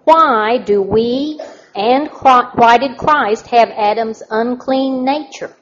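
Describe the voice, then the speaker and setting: A fairly high-voiced woman presents her church class and quizzes the children in a plain, announcing way. The recording is muffled, with a faint hiss underneath. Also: slow.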